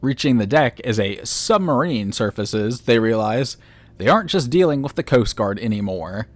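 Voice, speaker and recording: A man's voice: mid-range voice, lively intonation, normal speed, slight background noise. A man hosting a video essay, recapping and commenting on a horror series.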